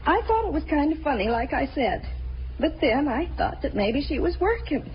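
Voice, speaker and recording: High-voiced, rambling, shaken cleaning woman. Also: big pitch swings, moderate pace. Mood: rambling, indignant. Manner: rambling, repetitive, plain working-class speech. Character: distraught, chatty cleaning woman who found a body. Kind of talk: 1950s radio drama acting. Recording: muffled, hissy 1950s radio recording.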